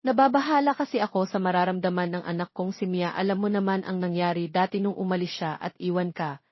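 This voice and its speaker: A woman with a Filipino accent voicing a blunt, quick-tempered older mother in an audio romance story. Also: on the low side, no echo, lightly acted. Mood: apologetic. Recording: slightly dull, lo-fi recording.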